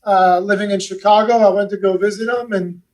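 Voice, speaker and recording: Forties man, high voice, easygoing podcast guest, slightly off-mic. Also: steady tone, brisk, distant mic, poor audio. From West Los Angeles. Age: 40s. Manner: easygoing, chatty storyteller. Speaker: guest, real estate investment advisor. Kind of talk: casual podcast interview.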